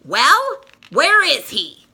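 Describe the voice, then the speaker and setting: A woman acts out an indignant little girl in a storybook. Her voice jumps high and bright, with emphatic, scolding delivery. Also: huge pitch swings, extremely slow, creaky, exaggerated voice. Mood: fuming.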